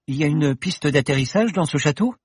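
A man playing a frightened young woman, shrill and protesting, very high and loud, husky, dry close recording. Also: lively intonation, brisk, no room sound. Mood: anxious. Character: anxious, sharp-tongued young woman.

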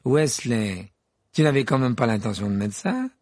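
A man's voice: medium pitch, expressive, normal speed, darkish tone, no room sound, clean studio sound, close to an everyday voice. A man voicing a spoiled, sneering teenage wizard boy who mocks and gloats. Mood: gloating. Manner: mocking, gloating, laughing.

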